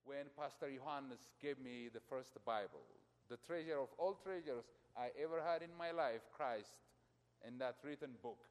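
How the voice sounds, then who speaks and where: A middle-aged man from Ethiopia preaching a sermon as a pastor. He speaks emphatically to his congregation, his loudness surging and falling. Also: mid-range voice, normal speed. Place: church. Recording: long church reverb.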